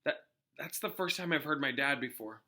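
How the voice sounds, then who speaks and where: A man voicing a teenage wizard boy, earnest and shaken but determined, with a halting manner, in a storybook reading. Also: mid-range voice, animated, pushed and bright. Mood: shaken, subdued. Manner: halting, stammering when upset.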